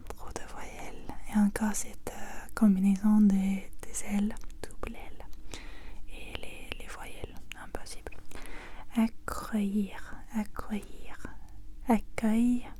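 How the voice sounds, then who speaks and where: A woman whispering ASMR-style pronunciation practice in French, a language not her first, slowly repeating words with hesitation and doubt. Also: medium pitch, dark tone. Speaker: ASMR creator.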